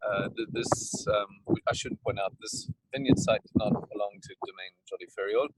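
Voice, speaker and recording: A man with a South African accent, a winemaker talking casually and haltingly with many fillers while standing outdoors in a vineyard, tired and coughing now and then. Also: on the low side, steady tone, very noisy.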